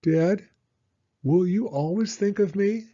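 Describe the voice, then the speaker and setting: A man's voice: high voice, takes it slowly, dark tone, muffled recording, pitched above the performer's own voice. A man voicing a cheerful young boy in a storybook, talking eagerly and affectionately to his dad. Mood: affectionate, wondering.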